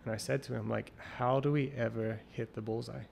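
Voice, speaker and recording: A man's voice: medium pitch, steady tone, fairly quick, creaky, darkish tone. A man being interviewed on a call, talking in a rambling way that thinks aloud and restarts often. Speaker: guest, champion water skier. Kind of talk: casual interview conversation. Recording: remote call recording with faint noise.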